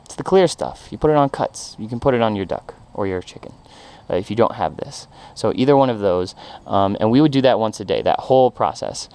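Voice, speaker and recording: A man explaining a home treatment step by step in a casual, halting way with many ums and restarts, recorded outdoors in a backyard on a head-mounted camera. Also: fairly low pitch, lively intonation, darkish tone, clear, resonant tone. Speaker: backyard poultry keeper. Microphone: head-mounted action camera.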